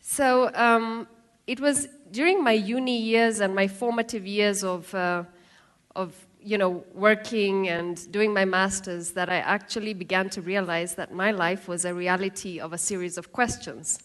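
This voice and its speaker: A woman in her thirties from Kenya with a Kenyan accent, telling her life story in a lively talk with asides and acted-out quotes. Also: mid-range voice, measured pace. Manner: lively, laughing, acts out quoted voices. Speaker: speaker giving a talk.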